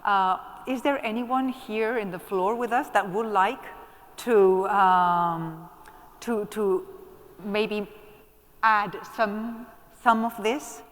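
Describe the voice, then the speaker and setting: A woman's voice: medium pitch, steady tone, slow. A woman moderating a conference panel, coaxing and encouraging the audience to speak up. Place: conference hall. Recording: long hall reverb.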